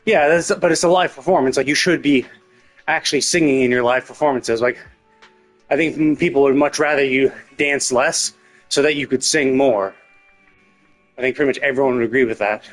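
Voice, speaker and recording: A man's voice: mid-range voice, brisk, rough recording. A man giving casual, rambling live commentary as a game streamer, thinking aloud as he goes. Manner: mild casual swearing. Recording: game music under the voice. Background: game music with guitar.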